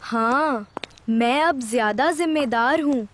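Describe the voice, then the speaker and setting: A woman's voice: high voice, slow pace, slight background noise. A woman voicing a caring, responsible young child in a children's moral cartoon. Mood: agreeable.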